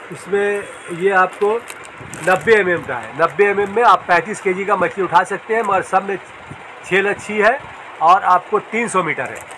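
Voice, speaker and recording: A man's voice: high voice, pushed and bright, slight background noise, poor audio. A man who runs a fishing tackle shop casually shows his products, repeating sizes and strengths plainly, filmed on a phone in the shop. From India.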